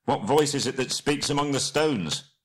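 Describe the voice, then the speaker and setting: A man's voice: medium pitch, animated, normal speed, pitched above the performer's own voice, natural voice. Man playing a raised-voiced, earnest battlefield rescuer. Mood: wary, calling. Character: sturdy, helpful man searching a battlefield.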